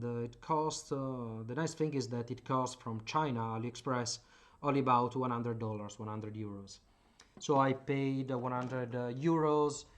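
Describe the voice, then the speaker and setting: A man from Italy with an Italian accent, explaining radio gear at his home workbench in a hesitant way, full of filler sounds. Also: medium pitch. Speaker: hobbyist channel host. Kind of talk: casual explanatory demo.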